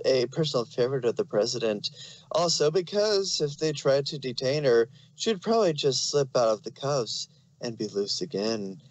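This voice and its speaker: A man's voice: medium pitch, low-quality recording. A man narrating fan fiction in an amateur dramatic reading style.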